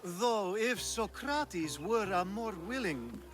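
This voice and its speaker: An actor playing a flamboyant, flirtatious Greek aristocrat in a video game, languid and suggestive in a theatrical way. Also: male voice, high-pitched, big pitch swings, slow pace, over music, heavily acted. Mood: breezy, teasing. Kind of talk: video game cutscene acting.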